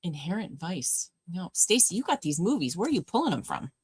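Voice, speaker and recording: Woman streamer, low deep voice, easygoing chat host reading comments, dry close sound. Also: sing-song, brisk, slightly rough, no echo. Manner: chatty, warm replies to chat, addresses viewers by name. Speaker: livestream host.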